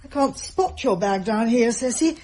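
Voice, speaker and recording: An actress playing a caring older woman in a radio drama, husky, genteel and reassuring. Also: a little high, expressive, moderate pace, darkish tone. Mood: soothing.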